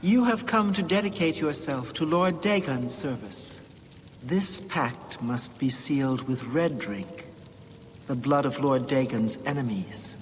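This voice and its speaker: An actor playing a fervent cultist in a video game, speaking in a zealous, declamatory ritual manner. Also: male voice, medium pitch, a little slow, slight background noise, close to an everyday voice. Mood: solemn, menacing. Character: fervent male cultist presiding over a blood rite. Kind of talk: video game character acting. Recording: long hall reverb, faint music behind.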